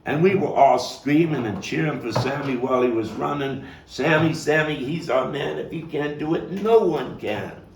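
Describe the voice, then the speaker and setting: A man performing a theatrical monologue as a haunted Brooklyn man looking back on his boyhood, halting and dramatic with long pauses. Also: fairly high pitch, animated, creaky. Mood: excited. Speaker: playwright and actor. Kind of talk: theatrical monologue at a table read. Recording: roomy, distant, noisy live capture.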